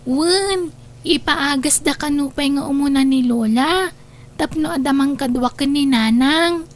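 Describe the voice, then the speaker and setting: A very high, girlish voice from a woman actor plays a poor young elder sister in an Ilocano audio drama. She is earnest, respectful and pleading, with an Ilocano accent. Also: measured pace.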